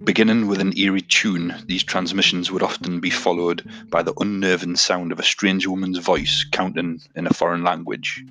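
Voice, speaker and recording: Male podcast host, low pitch, little melodic movement, scripted but conversational narration, direct address to listeners, music behind. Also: level tone, moderate pace, few pauses, pushed and bright.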